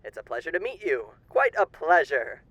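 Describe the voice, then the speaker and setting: A man's voice: a little high, big pitch swings, normal speed, a bit bright, no echo, low-quality recording. A man playing a suave demon overlord with theatrical, old-fashioned genteel diction in an audio roleplay. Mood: smug, courteous. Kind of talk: ASMR character roleplay.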